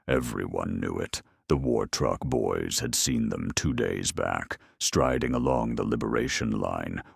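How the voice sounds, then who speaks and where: A man narrating a scripted dramatic story in a wry, deadpan manner. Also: a bass voice, vocal fry, breathy edge, dry recording, studio quality.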